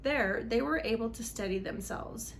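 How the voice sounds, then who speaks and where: A woman's voice: fairly high pitch, normal speed, gravelly. A woman reading a storybook aloud for children in a dramatic, emphatic way. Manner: dramatic, emphatic on exciting moments. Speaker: read-aloud host for children.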